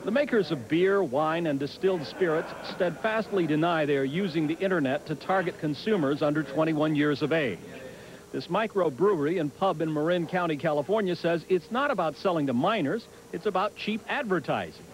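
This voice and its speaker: Higher-pitched American male news correspondent. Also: fairly quick, slight background noise, low-quality recording. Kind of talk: scripted news report narration.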